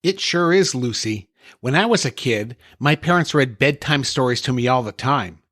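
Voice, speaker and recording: A man's voice: mid-range voice, expressive, moderate pace, fairly bright tone, no echo, studio quality. A man hosting a podcast, delivering scripted comic dialogue and storybook reading. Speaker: podcast host.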